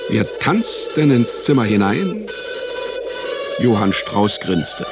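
An actor playing a wry, broke private detective in a radio play, with a deadpan, sardonic manner. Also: male voice, on the low side, takes it slowly. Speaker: narrator and lead actor. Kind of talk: first-person detective radio play narration and dialogue. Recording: music behind the voice. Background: music.

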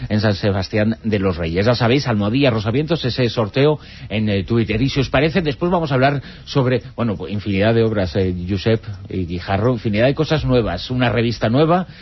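Male radio host, lowish voice, halting delivery, lo-fi broadcast sound. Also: lively intonation, slightly rough. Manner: hesitant, many fillers. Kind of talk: late-night radio talk show. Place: radio studio.

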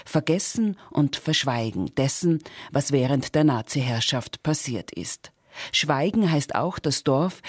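A man's voice: mid-range voice, a little mellow, no echo. Dry-sounding male radio presenter. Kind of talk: scripted introduction to a radio play.

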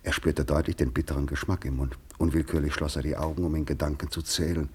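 A man narrating a radio play as scripted literary storytelling, in a measured, grave manner. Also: a bass voice, flat delivery, a little mellow, husky.

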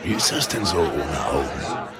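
An actor playing an inquisitive gangster in a radio drama. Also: male voice, rumbling low, animated, moderate pace, over a noisy crowd. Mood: curious. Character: inquisitive male gangster in a Prohibition nightclub.

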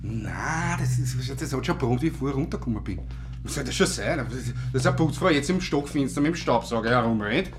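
A man's voice: medium pitch, lively intonation, a little fast, over music, natural voice. An actor playing a breezy, shady man with an Austrian accent, colloquial and bossy, in a naturalistic radio drama. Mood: offhand. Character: breezy, shady Austrian man, Mike, showing off his scheme.

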